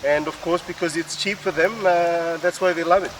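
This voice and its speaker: A man with a Fijian accent explaining things as an interview guest, hesitantly, with many pauses. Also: a little high, steady tone. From Fiji. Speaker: interview guest, PhD researcher. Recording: distant mic with faint urban noise.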